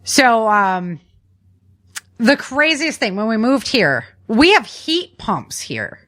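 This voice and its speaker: A woman hosting a podcast in casual conversation, talking in an informal, slangy and expressive way. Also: a little high, slow pace. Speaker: podcast host. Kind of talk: casual chat about preparedness.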